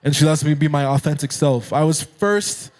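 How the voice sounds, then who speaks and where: A young man with an American accent, a guest musician chatting in a relaxed interview and laughing often. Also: a little high, a little fast. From Nairobi, Kenya. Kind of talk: casual interview chat.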